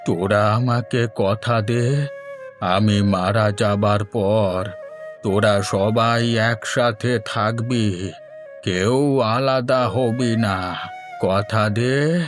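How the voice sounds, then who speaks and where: Man voicing a slow, dying old father. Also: fairly low pitch, faint music behind. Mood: pleading, weak. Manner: slow, halting, drawn-out words. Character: dying old village father, weak, pleading, loving. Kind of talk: slow cartoon fairy-tale acting.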